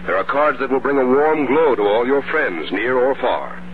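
A male American announcer delivers copy with earnest polish in 1950s radio style. The recording is dull and old, with music clearly behind him. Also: medium pitch, animated, normal speed, a little hiss or hum. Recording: vintage 1950 radio broadcast, music behind.

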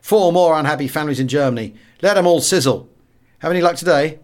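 Casting: callous young English RAF-style pilot played by a male audiobook reader, brisk, bitter and hard-edged. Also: fairly high pitch, darkish tone, pitched above the performer's own voice, natural voice. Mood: bitter, mocking. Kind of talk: audiobook character dialogue.

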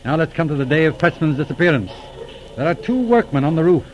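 An actor in a 1940s radio drama playing a shrewd, calm, middle-aged police inspector with a measured, probing manner. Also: male voice, fairly high pitch, natural voice, poor audio. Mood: calmly probing. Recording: old radio drama recording.

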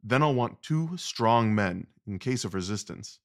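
An actor playing a confident, commanding gentleman detective, wry and precise, in an audio drama. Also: fairly low pitch, animated, unhurried. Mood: commanding, brisk.

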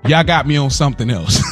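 Brief: male podcast co-host, casual, laughs a lot, halting restarts, faint music. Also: fairly high pitch, fairly bright tone. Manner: laughs often, halting restarts when explaining himself. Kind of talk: casual chat.

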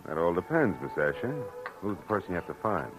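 An actor playing a wry, tough private detective in a 1940s radio drama, terse and clipped. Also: male voice, low voice, big pitch swings, fast talker. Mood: calm, businesslike. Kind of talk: 1940s radio acting, first-person noir narration. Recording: dull old radio recording, music behind.

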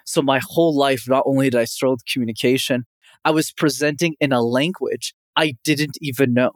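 A man's voice: medium pitch, brisk, no room sound, clean studio sound. A young man from Montreal, Canada, a communication coach answering interview questions with confident energy and often saying the host's name. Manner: energetic, confident, frequently addresses host by name.

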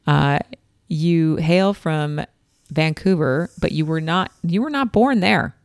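A woman's voice: low-pitched, slow pace, dry recording, studio quality. A woman hosting a podcast in upbeat, playful casual chat, interviewing a guest. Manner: upbeat, playful, plugs merch. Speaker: podcast host.

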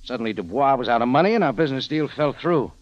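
An actor playing a tough, wry American adventurer with a terse hard-boiled delivery, in 1940s radio drama style, on a dull old recording. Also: male voice, medium pitch, lively intonation, quick pace, dry recording, close to an everyday voice. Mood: terse, uneasy. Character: tough, wry American adventurer narrating his own case.

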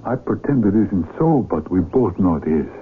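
An actor playing an anguished, tender mountaineer in a radio drama, speaking earnestly and poetically. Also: male voice, low-pitched, lively intonation. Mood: tender, wistful. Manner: earnest, poetic, slow and deliberate. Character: anguished sighted mountaineer, tender lover facing blinding. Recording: narrow, noisy old AM radio recording.

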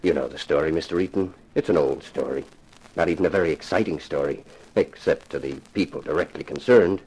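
A man's voice: a bass voice, darkish tone, slightly rough, rough recording. An actor playing a grave, formal, wealthy father hiring a detective in a 1950s radio drama. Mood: bitter, sorrowful. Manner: formal, measured, solemn phrasing.

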